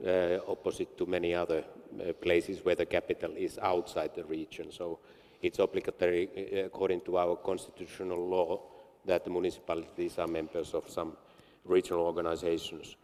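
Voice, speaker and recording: A man, an English speaker, answering at a panel in a halting way with many fillers and restarts. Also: deep voice, little pitch movement, darkish tone. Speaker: regional council representative. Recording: long hall reverb.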